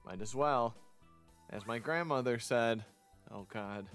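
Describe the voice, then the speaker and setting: Low-voiced man streaming a visual novel. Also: takes it slowly, a bit bright, smooth, soft background music. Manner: rambling, chatty asides between read lines. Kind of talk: casual game playthrough commentary with read-aloud dialogue.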